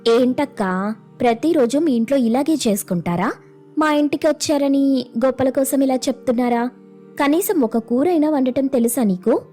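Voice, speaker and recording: A woman's voice: high voice, moderate pace, mellow, soft background music, pitched above the performer's own voice. A woman voicing an irritated, outspoken young daughter-in-law in a family story, blunt and indignant. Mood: annoyed, doubting.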